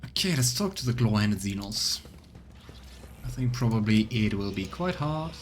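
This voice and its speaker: A man streaming a video game, reading dialogue and narration aloud in a measured way with casual asides. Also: on the low side, lively intonation, a little hiss or hum. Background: game music.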